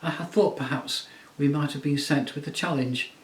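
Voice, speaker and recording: A man's voice: on the high side, steady tone, moderate pace, a little hiss or hum, voice pushed up, lightly acted. A man voicing a noble, courtly male talking mouse who speaks with formal, chivalrous pride. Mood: earnest. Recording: roomy echo.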